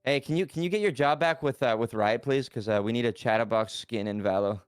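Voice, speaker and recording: A man's voice: mid-range voice, brisk, clean tone, dry recording, clean studio sound. A man in his late twenties, a podcast guest, talking casually with slang and lots of fillers. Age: 20s.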